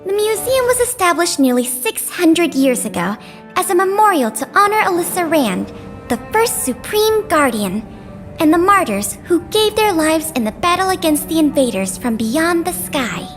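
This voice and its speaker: A woman's voice: very high-pitched, sing-song, moderate pace. A woman voicing an earnest, friendly young tour guide in a video game, polite and eager to explain. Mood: eager, explanatory. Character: earnest, friendly young woman guiding visitors around a museum. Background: faint background music.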